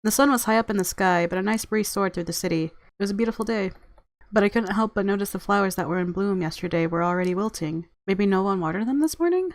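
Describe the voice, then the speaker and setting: A woman's voice: medium pitch, fast talker. A woman narrating a visual novel aloud as a let's-player, mixing story reading with casual commentary.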